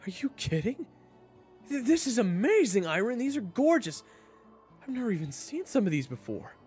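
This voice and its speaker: A man's voice: high-pitched, sing-song, normal speed, a little mellow, husky, faint music behind, exaggerated voice. A man playing an earnest, candid human traveler in a fantasy audio roleplay, speaking casually and expressively. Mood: amazed, eager. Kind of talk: audio roleplay acting with first-person narration.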